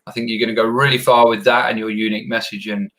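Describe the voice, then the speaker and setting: Lower-voiced male podcast host, rambling. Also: flat delivery, quick pace. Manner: rambling, warm praise, many restarts. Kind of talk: podcast interview.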